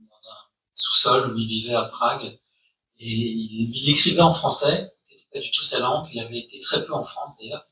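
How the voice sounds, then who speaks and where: A man's voice: medium pitch, pushed and bright, roomy sound, far from the mic, poor audio. A man moderating a public conversation, asking long formal questions with hesitant fillers. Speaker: interviewer. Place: university lecture hall.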